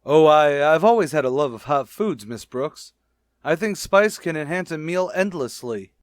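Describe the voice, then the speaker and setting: An American actor playing a shy, naive bachelor schoolteacher, hesitant and earnest, in a vintage radio sitcom. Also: male voice, fairly high pitch, animated, normal speed, no room sound, clean studio sound, close to an everyday voice. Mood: bashful, earnest. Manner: hesitant, earnest, literal-minded.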